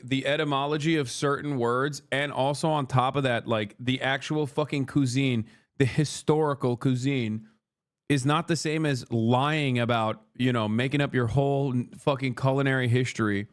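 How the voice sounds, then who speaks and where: A man's voice: mid-range voice, fairly even intonation, normal speed. A man from Turkey in his thirties, streaming live while eating and trading profane banter with his chat. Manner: profane, bantering, reads chat aloud and argues with it.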